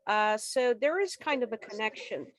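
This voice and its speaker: High-voiced woman presenting. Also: expressive, normal speed, no echo. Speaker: club member giving a presentation. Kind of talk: informative slide presentation. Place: remote video call.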